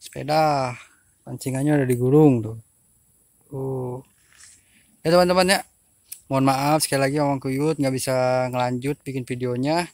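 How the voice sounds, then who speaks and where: A young man talks in an informal, chatty vlog style. His voice sits at a middle pitch. He speaks to his viewers as friends, recorded on a handheld phone in the open air. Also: a little mellow, dry recording. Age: young adult. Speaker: fishing vlogger. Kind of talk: casual chat to camera. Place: outdoors by a pond and fields.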